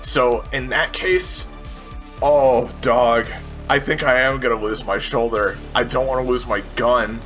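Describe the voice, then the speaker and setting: Bright-voiced man talking through a tabletop game. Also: mid-range voice, steady tone, unhurried, soft background music. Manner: thinks aloud, repeats and corrects words, swears casually. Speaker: player in a tabletop role-playing game show. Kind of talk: casual game play talk with narration of own character.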